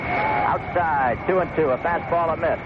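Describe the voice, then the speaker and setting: High-voiced man calling baseball on noisy old radio. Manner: unhurried, conversational, descriptive. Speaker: baseball play-by-play announcer. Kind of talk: live radio sports commentary. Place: outdoor stadium broadcast booth. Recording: dull, very noisy 1970s AM radio broadcast. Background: loud steady ambient noise.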